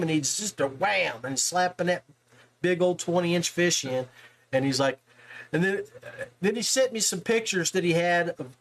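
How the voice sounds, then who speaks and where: Folksy man with a higher-pitched voice. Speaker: podcast host. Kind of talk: casual interview chat about fishing.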